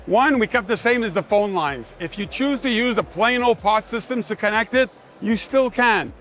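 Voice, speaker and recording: A man's voice: high-pitched, moderate pace, fairly bright tone, faint music behind. A man giving a live sales demonstration of a product, speaking in emphatic short phrases like a salesman. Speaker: sales manager presenting a product. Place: trade show demonstration.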